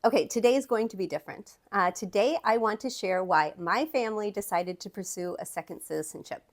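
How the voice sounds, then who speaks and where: A woman's voice: medium pitch, a little fast. A woman in her thirties with a Wisconsin accent, an immigration attorney talking straight to camera in a chatty, upbeat way, often restarting with "okay" and "um.". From United States. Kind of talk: direct-to-camera talk.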